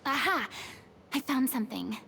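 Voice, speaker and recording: An actress playing an earnest, composed young woman investigator in a fantasy game, speaking in a measured, articulate way. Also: sits high, expressive, creaky, crowd noise. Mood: serious, intent. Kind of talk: video game voice acting.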